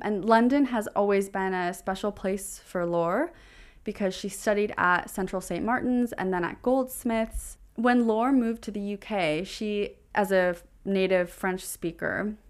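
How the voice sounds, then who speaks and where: Woman, gallery representative as interviewee. Slow, deliberate delivery, smooth clear tone, articulate art explainer. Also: lowish voice.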